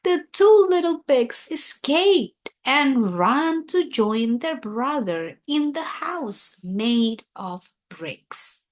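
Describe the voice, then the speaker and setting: A woman storyteller reads aloud for young children at a very unhurried pace. Her voice is somewhat high and rises and falls in animated swoops, giving the scripted tale a deliberate, expressive shape.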